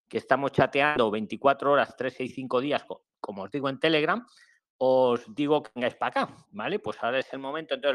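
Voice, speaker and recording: A man's voice: medium pitch, a bit bright. This is a man from Spain with a Madrid accent, running a live call-in session over an online call. He talks in a friendly, explanatory way, stresses the key words and keeps checking in with his listeners. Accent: Spanish, Madrid. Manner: friendly, explanatory, emphatic, with frequent "¿vale?". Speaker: podcast host. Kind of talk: live call-in chat, hosting.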